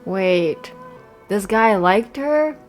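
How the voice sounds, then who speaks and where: A woman's voice: mid-range voice, lively intonation, very slow, soft background music. A young woman giving casual, playful gameplay commentary with a theatrical, sardonic manner. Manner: theatrical, sardonic, jumps into exclamations. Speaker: game streamer.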